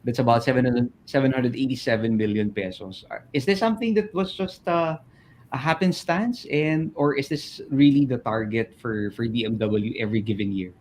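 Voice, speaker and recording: A man moderating an investor question session in a measured, courteous way. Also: medium pitch, darkish tone, a little hiss or hum. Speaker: host and moderator. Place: virtual call.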